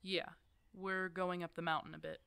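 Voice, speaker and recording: A woman's voice: lowish voice, level tone, normal speed, vocal fry, bright, forceful tone, smooth, no echo. A woman playing a stubborn, protective older werewolf hermit in an ASMR roleplay drama, blunt and teasing in a casual way. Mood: bossy, exasperated. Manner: teasing, blunt, casual one-sided dialogue.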